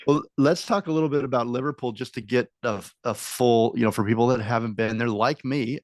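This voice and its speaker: A man's voice: medium pitch, fairly quick. A man hosting an interview from California, talking in a loose conversational way with many filler words. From United States (California). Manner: conversational, frequent ums and uhs. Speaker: podcast host. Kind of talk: casual interview chat.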